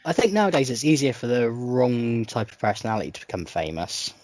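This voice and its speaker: A man's voice: mid-range voice, sing-song, fairly quick, a little mellow, no echo. Guy, wry jokey music chat, dry close mic. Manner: wry, joking, mimics people in quotes. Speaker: podcast co-host. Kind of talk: casual chat about music.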